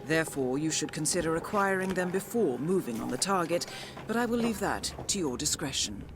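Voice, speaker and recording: An actress playing a cool, professional assassin's handler in a video game, giving briefings in a composed, formal, dryly ironic way. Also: female voice, sits low, expressive, a little fast, noisy background. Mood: composed, businesslike. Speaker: mission handler.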